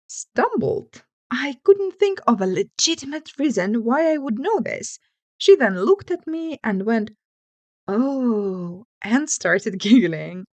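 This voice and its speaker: A woman with a fairly high voice reads online stories as a host. Her intonation swings widely and playfully, with casual asides mixed in. The sound is dry and close, free of room echo. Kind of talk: expressive story reading with casual asides.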